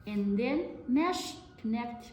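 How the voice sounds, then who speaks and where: A woman giving a product demonstration to camera in halting, non-native English phrasing. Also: on the high side, expressive, a little mellow. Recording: very echoey room, faint noise.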